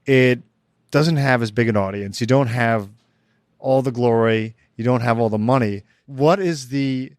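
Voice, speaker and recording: A man hosting a podcast, asking measured, courteous interview questions. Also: mid-range voice, no room sound, clean studio sound. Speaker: podcast host.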